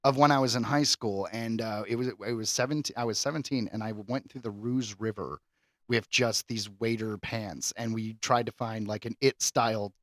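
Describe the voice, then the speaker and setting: A man's voice: on the low side, fairly even intonation, normal speed, a bit bright, no echo, studio quality. A man co-hosting a podcast, chatting casually and animatedly, laughing often. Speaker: podcast co-host.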